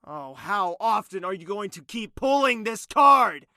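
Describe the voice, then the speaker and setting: An actor playing a proud, wounded young male royal with a fierce temper in an audio drama, speaking in an intense, imperious and deliberate way. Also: high voice, lively intonation, bright, forceful tone, dry recording, studio quality, heavily acted. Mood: defiant, heated. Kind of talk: dramatic audio drama acting with narrated inner thoughts.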